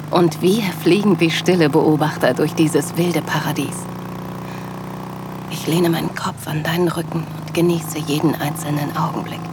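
A woman playing a loving, sensual middle-aged wife, narrating an intimate audio drama in a soft, dreamy manner. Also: low voice, noisy background.

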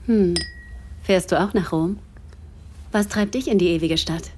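A woman's voice: on the low side, unhurried, mellow, slight background noise, lightly acted. An actress playing a self-assured, flirtatious woman writer in an intimate audio drama, with a playful, inviting manner.

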